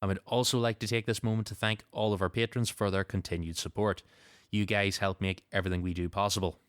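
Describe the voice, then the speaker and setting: A man's voice: on the low side, little pitch movement, quick pace, clear, resonant tone, no room sound, studio quality. A man hosting a podcast, moving between show announcements and casual chat. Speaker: podcast host.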